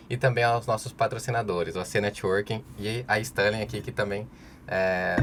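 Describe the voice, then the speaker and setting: Lower-voiced male podcast host. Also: a little hiss or hum. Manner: friendly, slightly hesitant, many "é" fillers. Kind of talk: podcast hosting.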